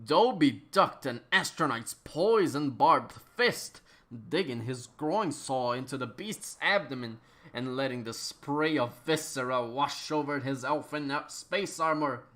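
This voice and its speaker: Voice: medium pitch, animated, unhurried, fairly bright tone. A performer giving a mock-dramatic, theatrical comedic reading of a story, sometimes tripping over words and repeating them. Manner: theatrical, stumbles and rereads phrases. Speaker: reader of a fan fiction.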